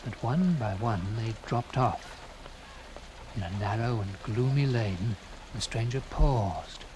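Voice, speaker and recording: A man reading a classic literary story in a dramatic first-person style, with theatrical, deliberate phrasing and swelling emphasis. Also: medium pitch, animated. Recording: clearly noisy with background ambience.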